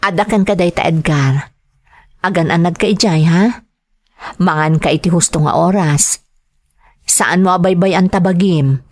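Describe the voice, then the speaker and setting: A woman playing a caring, grateful wife and mother in an Ilocano drama, gentle and a little hesitant. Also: lowish voice, normal speed, pauses often, no echo, lightly acted. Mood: caring, concerned. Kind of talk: Ilocano radio-style drama acting.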